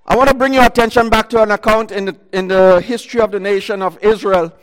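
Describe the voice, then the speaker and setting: A man with a high-set voice preaching loudly into a microphone to a congregation. He retells scripture in bursts, stopping and restarting and filling gaps with "uh" and "um". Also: fairly even intonation, fast talker, smooth, big-room sound. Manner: loud voice, talks in bursts with many fillers and restarts. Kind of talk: sermon retelling a Bible story.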